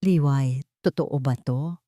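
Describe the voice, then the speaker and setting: A woman's voice: a bass voice, slow pace, warm tone, no echo, studio quality, voice pushed down. A woman voicing a tired, confused husband in a story, reproachful and defensive of his mother. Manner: weary, reproachful.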